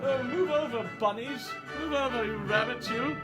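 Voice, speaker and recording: Man putting on a fluttery, high-pitched theatrical lady. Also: normal speed, over loud music. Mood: dismayed. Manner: fluttery, exclaiming, chatty with children. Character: fussy, excitable, theatrical lady storytime host. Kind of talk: comic children's storytime presenting.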